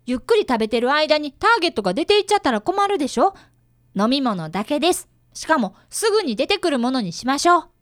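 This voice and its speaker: Woman voicing an earnest, high-pitched little schoolboy detective. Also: very animated, fast talker, no echo, studio quality. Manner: polite, precise, boyish. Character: earnest, bright fifth-grade boy, apprentice detective. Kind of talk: radio drama dialogue and first-person narration.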